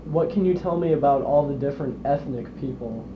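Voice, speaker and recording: A man's voice: medium pitch, a little fast. A man interviewing an older woman, patiently repeating and rephrasing his questions. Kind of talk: oral history interview. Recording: distant roomy lo-fi recording with noise.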